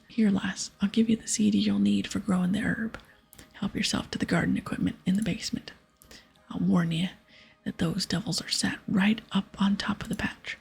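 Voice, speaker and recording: Woman putting on a gravelly, breathy pirate captain. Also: mid-range voice, heavily acted. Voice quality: breathy, half-whispered, gravelly. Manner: archaic pirate talk with arrs and ayes. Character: gruff, dramatic old-salt male pirate brewery captain. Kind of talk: ASMR video game dialogue read-aloud. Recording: soft music behind.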